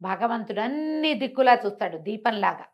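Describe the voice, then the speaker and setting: A woman's voice: fairly high pitch, animated, a little fast, lo-fi sound. A woman storyteller. She narrates a moral fable expressively, acting out the exchanges and putting questions to her listener as she explains.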